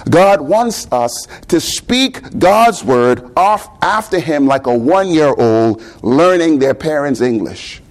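A man's voice: a little high, expressive, slow, few pauses. A man in his thirties with a Bahamian accent, preaching a sermon in a conversational way, asking rhetorical questions and often repeating words as he goes.

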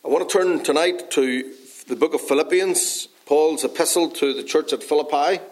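A man from Northern Ireland with a Northern Irish accent, a pastor giving an earnest sermon in an unhurried way with frequent pauses and fillers, slightly hoarse from a dry cough. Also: a little high.

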